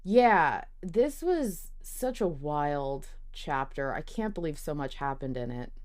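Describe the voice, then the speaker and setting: A woman's voice: deep voice, expressive, slow pace, darkish tone, dry recording. A woman hosting a podcast in casual, conversational fan discussion. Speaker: podcast host.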